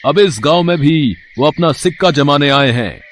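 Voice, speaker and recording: A village crier played by a man in a moral story, proclaiming formally to the public. The voice is fairly high, with faint noise behind it. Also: lively intonation, moderate pace, close to an everyday voice.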